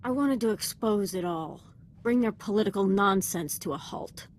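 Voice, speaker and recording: Casting: actress as young female dark-side apprentice for a game, deferential yet fervent, articulate delivery, mid-range voice. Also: medium pitch, moderate pace, a little hiss or hum, lightly acted. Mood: bitter, intense. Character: young female Sith apprentice, devoted, fervent, darkly eager. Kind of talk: dramatic video game voice acting.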